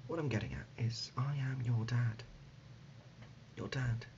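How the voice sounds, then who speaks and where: A man playing an absurd, deadpan dad in an ASMR comedy roleplay, speaking haltingly and gently, often dropping to a whisper. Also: medium pitch, close to an everyday voice. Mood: deadpan insistent. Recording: dull lo-fi audio, loud background noise.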